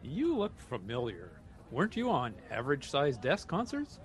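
A man's voice: mid-range voice, huge pitch swings, moderate pace. A man playing a curious, friendly roving reporter and host in a comedy sketch.

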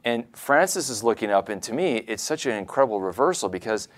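Male podcast co-host, lowish voice, enthusiastic scholarly explainer, halting and conversational. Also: expressive. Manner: enthusiastic explainer, halting delivery with repeated words. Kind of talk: conversational religious commentary.

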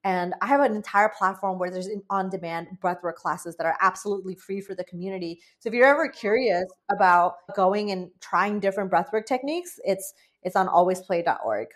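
A woman's voice: mid-range voice, brisk, few pauses. A woman from Bangladesh in her late twenties or thirties, a breathwork facilitator talking earnestly and chattily as an interview guest. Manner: earnest, chatty, many "like" fillers, animated quoted asides. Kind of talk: conversational interview.

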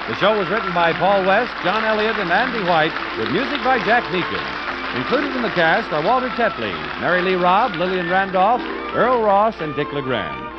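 A male announcer reading a 1940s radio commercial and credits in a crisp, emphatic way. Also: high-pitched, sing-song, moderate pace, over a noisy crowd. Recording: dull vintage radio broadcast with music.

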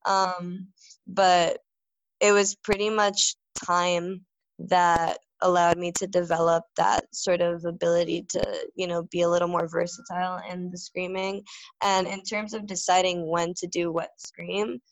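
A woman's voice: lowish voice, flat delivery, unhurried, no echo, rough recording. A young woman vocalist answering interview questions in a casual, rambling way, full of fillers and restarts. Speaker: band vocalist.

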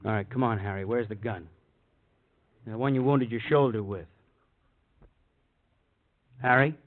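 An actor playing a no-nonsense police interrogator in 1950s radio drama, questioning curtly and insistently. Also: male voice, mid-range voice, unhurried, no echo, close to an everyday voice. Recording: dry, muffled old radio recording.

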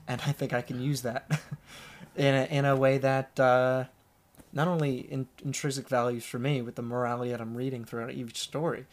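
Regular guy chatting on a podcast, lots of uhs and likes, laid back, mid pitch. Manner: relaxed, hesitant with many fillers. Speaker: podcast guest, storyteller. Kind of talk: casual conversation.

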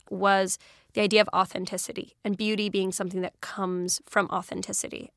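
A woman's voice: medium pitch, vocal fry, sharp tone, dry recording, clean studio sound. A woman hosting an interview podcast, introducing guests in an upbeat, playful way. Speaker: podcast host.

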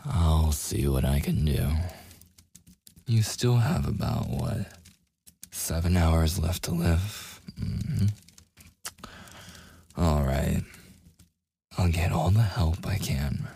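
A man playing a gruff, protective werewolf with a secretly tender side in a boyfriend ASMR roleplay, his husky, gravelly voice often dropping toward a whisper as he gives grudging commands. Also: low-pitched, lively intonation, dark tone, no echo, heavily acted. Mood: grudgingly reassuring. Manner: slow, grudging, possessive, commanding. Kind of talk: boyfriend ASMR roleplay, intimate scripted acting.

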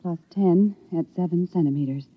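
An actress playing a cool, emotionally repressed scientist in an old radio drama, speaking in a formal, clinical, controlled manner. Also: female voice, lowish voice, normal speed, slightly rough. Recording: dull lo-fi old radio recording.

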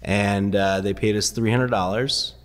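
A man raised partly in Iran, first language Farsi, telling his story as a guest in a relaxed, laughing way with many ums. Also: on the low side, a little slow, in a large hall. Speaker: guest, investor. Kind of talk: casual interview storytelling.

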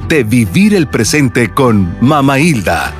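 Male announcer for a podcast intro, very animated pitch, clear guitar music bed. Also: slow. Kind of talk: scripted show intro announcement.

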